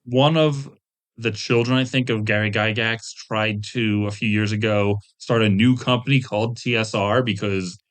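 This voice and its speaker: A man's voice: lowish voice, steady tone, normal speed. A middle-aged or older man speaking as a podcast guest, chatting casually and discursively about his hobby, with asides and hedged recollections. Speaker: podcast guest, veteran game designer. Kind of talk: casual interview conversation about tabletop gaming.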